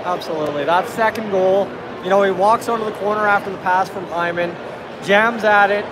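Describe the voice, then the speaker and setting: Male sports fan, 30s, high voice, post-game commentary, noisy arena phone recording. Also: fairly quick. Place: busy arena entrance concourse at night. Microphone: phone at arm's length. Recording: loud urban crowd and traffic noise.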